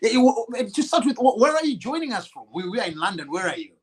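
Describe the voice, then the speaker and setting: A man's voice: high voice, big pitch swings, fast, husky. A man hosting a live online talk show over a video call, greeting viewers and his guest in a friendly, easygoing way. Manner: friendly, many fillers and restarts, laughs. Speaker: show host.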